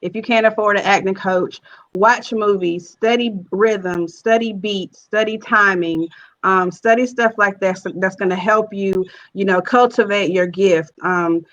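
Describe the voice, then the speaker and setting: A woman's voice: mid-range voice, steady tone, unhurried, fairly bright tone, muffled recording. A woman guest on a panel, giving earnest conversational advice and repeating phrases for emphasis. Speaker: guest, stage play writer-director.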